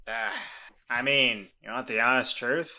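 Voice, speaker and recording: A woman voicing a remorseful weasel man who once ran away from home, speaking in a halting, reflective way and gently encouraging others. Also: a bass voice, fairly even intonation, exaggerated voice. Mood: hesitant.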